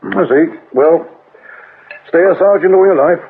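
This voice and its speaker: An actor playing a sardonic, demanding police inspector in a British accent, with dry sarcasm and brisk questioning, in a radio drama. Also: male voice, a little high, lightly acted. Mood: sarcastic. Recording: tinny, band-limited radio recording, faint noise.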